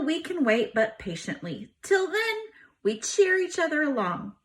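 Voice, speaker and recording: A woman reading a diary aloud to students as a teacher, with expressive, emphatic storytelling phrasing. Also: high-pitched. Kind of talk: animated read-aloud of a diary book.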